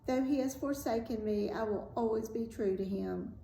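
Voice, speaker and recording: A woman's voice: fairly high pitch, normal speed, vocal fry, warm tone, voice pushed up. A woman storyteller voicing a faithful young maiden, earnest and resolute, in a storybook reading. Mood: resolute, devoted. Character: faithful, forsaken young maiden, steadfast in love. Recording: roomy echo with faint background noise.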